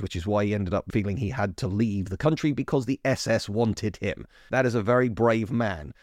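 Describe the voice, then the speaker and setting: A man with a fairly low voice hosts a casual history podcast. He talks in an easy, chatty way, often restarting mid-thought and stressing words he cares about. His voice is close and dry, with no room echo. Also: a little mellow. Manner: chatty, self-interrupting, emphatic. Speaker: podcast host.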